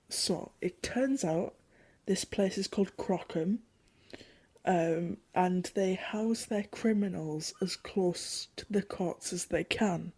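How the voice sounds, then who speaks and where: A woman plays a curious traveller recording a journal in an audio drama. The voice is soft, creaky and husky, and moves slowly. The delivery is informal and hesitant, with wry asides and trailing phrases. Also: mid-range voice, no echo. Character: chatty, curious female traveller journaling a strange new world. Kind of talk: audio drama diary monologue.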